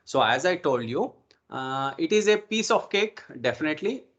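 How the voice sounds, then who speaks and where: A man's voice: a little high, big pitch swings, moderate pace, a bit bright. Indian-accented man teaching an online class. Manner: instructive, repeatedly checks with "okay" and "right". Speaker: instructor. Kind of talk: informal online networking lecture. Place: online video call recording.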